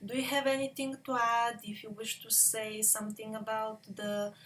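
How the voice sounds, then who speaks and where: Female interviewer, unhurried, polite delivery, recorded a bit far from the mic with faint noise behind. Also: on the high side, level tone, a bit bright. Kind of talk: polite, informal interview questioning.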